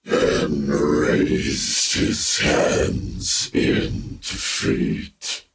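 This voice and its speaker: A man playing a grave, theatrical narrator of a dark science fiction audio drama, with drawn-out, ominous delivery. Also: extremely low pitch, level tone, background noise, exaggerated voice. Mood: grave, foreboding. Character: ominous male narrator of a dark sci-fi tale. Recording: echoey, distant voice under loud music.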